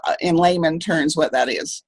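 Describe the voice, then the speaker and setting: A woman's voice: sits low, moderate pace, no room sound. A woman hosting an author interview, asking questions with short friendly reactions. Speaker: interview host.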